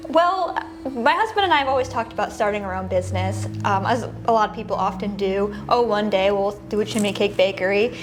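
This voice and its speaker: An American woman explains things informally in a conversational interview. Her pitch is middling, and music plays clearly behind her. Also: a little fast, noisy background. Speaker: small bakery co-owner being interviewed.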